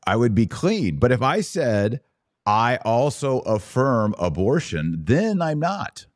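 A man's voice: mid-range voice, big pitch swings, takes it slowly, dry recording, studio quality. A man hosting a podcast discussion in a conversational style. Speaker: podcast host.

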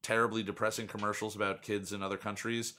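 A man's voice: on the low side, flat delivery, fast talker, bright, forceful tone. A young man hosting a casual podcast, rambling and chatty, with lots of fillers. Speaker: podcast host. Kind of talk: casual chat.

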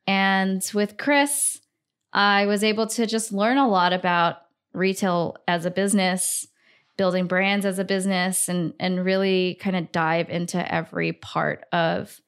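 A woman's voice: medium pitch, steady tone, unhurried, fairly bright tone, smooth. A woman in her thirties from Singapore, a brand founder talking enthusiastically in a podcast interview. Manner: enthusiastic, upbeat, frequent fillers.

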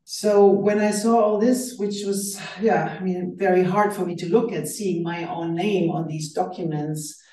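A woman's voice: on the low side, steady tone, measured pace, hardly any pauses, warm tone, reverberant, recorded from a distance, low-quality recording. A woman whose first language is German, speaking as an author in an earnest, reflective interview and often restarting her phrases. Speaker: author guest. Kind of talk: radio interview.

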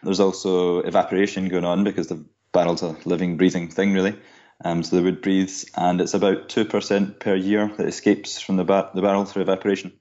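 A man guest explaining how something is made in a measured, informative way, with frequent ums and uhs. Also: low voice, level tone, mellow. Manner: informative, measured, with many fillers. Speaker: guest, whisky guide. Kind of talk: casual explanatory chat. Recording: dull lo-fi audio.

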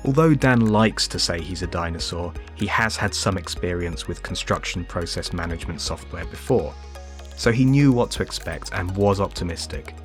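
A man reading scripted documentary narration in a measured way. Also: deep voice, animated, faint music behind.

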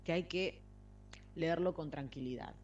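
Casting: Argentine woman, casual podcast co-host talking books, slightly low register, mildly muffled recording. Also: a little slow, darkish tone, slight background noise. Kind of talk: casual book chat.

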